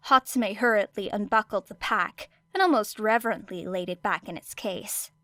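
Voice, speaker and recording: Woman reading an audiobook story, medium pitch, really dry close recording. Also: moderate pace, pushed and bright, no echo. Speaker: audiobook narrator. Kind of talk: scripted fiction narration.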